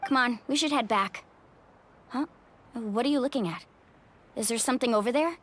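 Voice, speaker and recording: A woman voicing an upbeat, brave young surfer warrior in a video game, energetic and casual. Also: a little high, moderate pace, a little hiss or hum, lightly acted. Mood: steady, casual.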